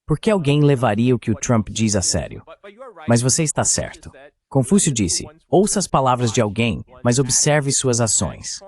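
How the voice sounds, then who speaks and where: A man's voice: mid-range voice, big pitch swings, normal speed, a little mellow. A man giving translated voice-over political commentary, opinionated and fond of rhetorical questions.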